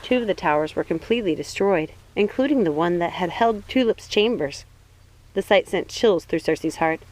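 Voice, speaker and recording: A woman's voice: on the low side, lively intonation, normal speed, darkish tone, low-quality recording. A woman reading a fantasy novel aloud as an audiobook narrator. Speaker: audiobook reader. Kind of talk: scripted fiction narration.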